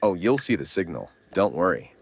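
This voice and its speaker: A man playing a gruff, world-weary survivor who takes charge in an audio horror drama. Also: sits low, big pitch swings, moderate pace, slight background noise. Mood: determined.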